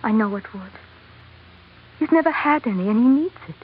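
A woman's voice: fairly high pitch, animated, moderate pace, breathy edge. A woman playing a devoted, educated young sultana with an earnest manner in an old radio drama. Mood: pleading. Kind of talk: 1940s radio drama acting. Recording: dull, noisy old radio recording.

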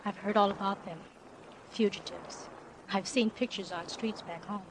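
A woman's voice: medium pitch, fairly even intonation, moderate pace, natural voice. An actress playing a defiant, wounded wife of an accused man, bitter and intense, in old-time radio drama style. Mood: bitter, disbelieving. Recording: noisy vintage radio recording.

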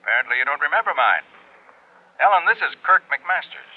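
A man's voice: a little high, big pitch swings, a little fast, breathy edge. An actor playing an insistent, terse businessman through a telephone filter in a 1960s radio drama. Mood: earnest. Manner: terse, pressing. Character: insistent tycoon phoning an old love. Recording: tinny telephone line, vintage radio recording.

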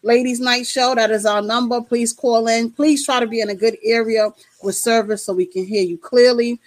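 A woman's voice: on the high side, fairly even intonation, normal speed, dry recording. A woman in her late thirties co-hosting a call-in talk show, chatting casually with many fillers and easy laughs. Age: 30s. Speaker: radio show co-host.

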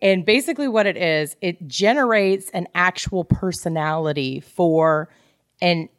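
A woman co-hosting a podcast, chatting casually and in an animated, geeky way about a book. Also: on the low side, unhurried, no echo, studio quality. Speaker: podcast co-host. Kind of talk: casual book club chat, reading out quotes.

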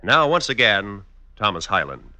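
A man's voice: fairly low pitch, sing-song, normal speed, heavy background noise. A man announcing an old-time radio show in a formal, emphatic, declamatory style.

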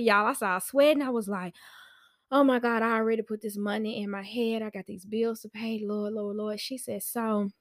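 A woman telling a personal story on a podcast in a chatty, animated, slangy way, acting out conversations as she goes. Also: medium pitch, no echo, studio quality. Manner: informal slangy speech. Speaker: podcast host and nanny.

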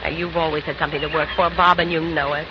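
An actress playing a composed, coy young woman who gently teases, in 1940s radio acting. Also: low voice, little pitch movement, quick pace, lightly acted. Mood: tender, teasing. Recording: noisy, muffled 1940s radio recording.

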